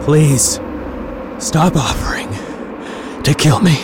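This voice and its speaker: An actor playing a stubborn, lovesick space traveller in an audio drama, with an emotional, halting delivery broken by sighs. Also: male voice, a little high, noisy background. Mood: fading, resigned. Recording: loud music and effects behind.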